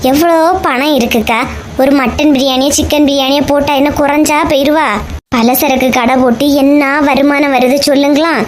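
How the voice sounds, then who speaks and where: Casting: woman playing greedy, outspoken village wife, high pitch, Kanyakumari Tamil accent, cartoon comedy, faint noise. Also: steady tone, a little fast, natural voice. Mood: indignant grumbling. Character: food-obsessed, blunt, grumbling village housewife. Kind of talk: comic cartoon dialogue.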